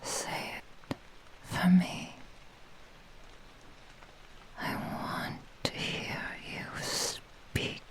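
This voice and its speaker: A woman voicing a proud, possessive, seductive fox-spirit witch in a breathy whisper, with a grandiose, intimate manner, for an ASMR roleplay. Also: low-pitched, dark tone, slight background noise, heavily acted, low-quality recording. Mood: haughty, commanding. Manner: slow, lingering, grandiose, intimate.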